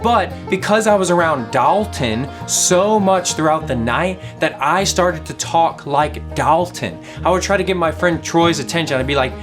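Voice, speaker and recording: Animated young man teaching kids. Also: a little high, moderate pace, few pauses, music in the background. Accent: Southern US. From Louisiana. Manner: animated, playful, asks questions. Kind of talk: kids object lesson.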